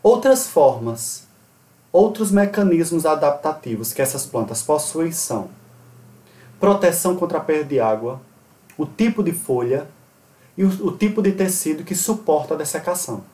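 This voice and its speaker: A man in his thirties from Brazil, giving a recorded online conference lecture in a steady, explanatory way and sometimes correcting himself mid-word. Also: fairly high pitch, moderate pace, distant mic. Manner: steady explanatory delivery with occasional self-corrections. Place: small indoor room. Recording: roomy echo, voice off-mic.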